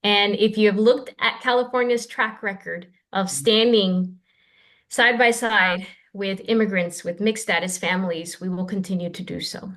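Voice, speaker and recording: A woman's voice: medium pitch, fairly even intonation, normal speed, fairly bright tone. Woman, official remarks, firm and reassuring, formal, remote call. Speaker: state aid official. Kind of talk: official remarks at a news briefing.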